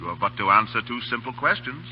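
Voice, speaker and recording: A man's voice: medium pitch, sing-song, fast, close to an everyday voice. An actor playing a courtly, mock-polite Indian khan who menaces his captives in old-time radio drama. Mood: silky, calculating. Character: suave, menacing Indian khan who captures and tortures officers. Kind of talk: 1930s radio drama acting. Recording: dull, noisy old radio broadcast with hum.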